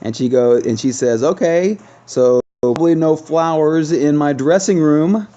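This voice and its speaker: A man's voice: fairly high pitch, a little slow, dark tone. An American man giving casual, chatty game walkthrough commentary to his viewers, often repeating himself as he explains. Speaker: gaming video host. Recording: dull lo-fi sound with music behind.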